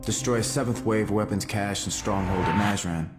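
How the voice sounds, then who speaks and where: An actor playing a hardened, defiant soldier under interrogation in a video game, giving terse, matter-of-fact accounts. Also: male voice, on the low side, moderate pace, music in the background, close to an everyday voice. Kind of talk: dramatic cutscene acting, recounting missions.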